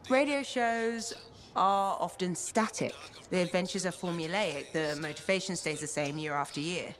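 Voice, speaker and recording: An actress playing a calm, thoughtful woman in a video game, earnest and gently teasing. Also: on the low side, normal speed, slight background noise, close to an everyday voice.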